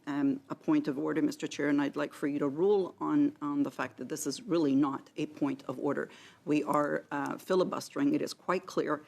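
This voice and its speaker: A woman with a low, gravelly voice raises formal objections in committee. She is insistent, with halting phrasing. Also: a little mellow. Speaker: member of parliament. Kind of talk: procedural objection in committee debate. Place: committee meeting room.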